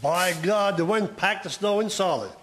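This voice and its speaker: A man's voice: sits high, moderate pace, fairly bright tone, a little hiss or hum. A man playing a plain-spoken labourer in a historical radio drama. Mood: weary, hopeful.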